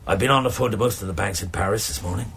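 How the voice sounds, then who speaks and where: An actor playing a shrewd, drily courteous police chief inspector in a naturalistic radio drama, with measured, understated delivery. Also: male voice, fairly low pitch, fairly bright tone, slight background noise. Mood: measured explaining. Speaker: actor in a detective radio play.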